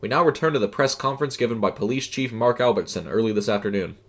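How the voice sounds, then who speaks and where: A man playing a television newscaster with a flat, frank, formal delivery in an audio story. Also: mid-range voice, fast talker, natural voice. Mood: grave, frank. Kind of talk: formal TV news report.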